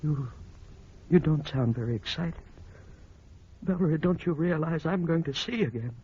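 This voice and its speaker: Husky, anxious bedridden husband, phone-thin sound. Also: male voice, a little high, lively intonation. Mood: excited, pleading. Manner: halting, pleading, anxiously repeating words. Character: anxious, needy older husband, blinded hospital patient. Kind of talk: old-time radio drama acting. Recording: muffled, tinny old radio recording.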